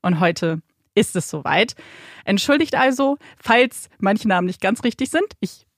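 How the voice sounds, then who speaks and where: A young woman hosts a true crime podcast, building suspense with rhetorical questions and measured pacing. Her voice sits mid-range for a woman, recorded dry and close in a studio. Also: medium pitch, expressive, no echo. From Germany, raised bilingual by Polish parents. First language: Polish. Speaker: podcast co-host telling the case. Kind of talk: dramatic true crime storytelling. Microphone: studio microphone.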